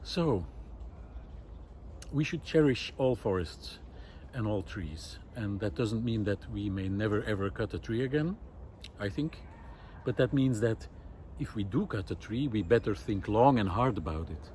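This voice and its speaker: A man's voice: fairly low pitch, animated, measured pace, darkish tone, slight background noise. A man giving an unscripted, reflective monologue outdoors in a wooded park, speaking in a thoughtful, hesitant way. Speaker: vlogger.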